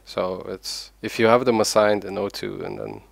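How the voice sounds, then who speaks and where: A man's voice: fairly low pitch, very animated, moderate pace, gravelly, dry recording. A man casually chatting on voice chat while he writes code, thinking aloud, distracted and swearing now and then. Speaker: programmer streaming his work.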